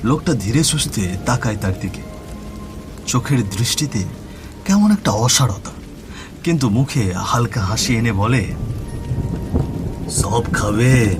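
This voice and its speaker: A man's voice: mid-range voice, expressive, normal speed, long pauses. Man narrating horror over music and rain. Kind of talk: scripted horror story narration. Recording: music bed with rain and thunder.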